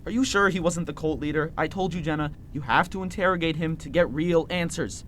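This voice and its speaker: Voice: mid-range voice, fairly quick, a bit bright, slight background noise, natural voice. An actor playing an impulsive small-town reporter doing a goofy, blustering bad-cop routine in a fiction podcast. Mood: suspicious, blustering. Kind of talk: audio fiction podcast acting.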